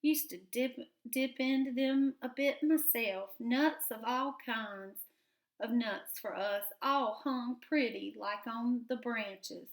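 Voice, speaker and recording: A woman's voice: a little high, slow. A woman voicing a forgetful old male gray squirrel who rambles in folksy, rustic talk. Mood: wistful.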